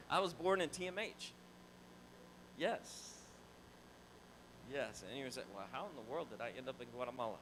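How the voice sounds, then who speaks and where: A man's voice: medium pitch, normal speed, pauses often, background noise. A middle-aged man from Florida, a pastor telling a personal story to his church in a conversational, restarting way. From Tallahassee, Florida. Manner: conversational, repeats words and restarts, animated. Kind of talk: church talk, casual storytelling. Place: large church sanctuary. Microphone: handheld mic.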